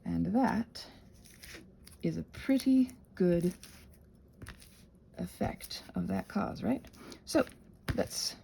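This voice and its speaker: Animated woman rambling through a craft tutorial. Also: mid-range voice, creaky. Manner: chatty, self-interrupting, thinks aloud, playfully self-soothing. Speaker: craft and ASMR channel creator, host.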